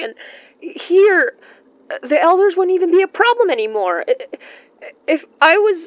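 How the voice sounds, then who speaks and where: Audio drama role: actress as tender, guilt-ridden young woman, slow and stammering, naturalistic, slightly muffled sound. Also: high-pitched, no room sound, pitched above the performer's own voice. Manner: halting, stammering, emotional.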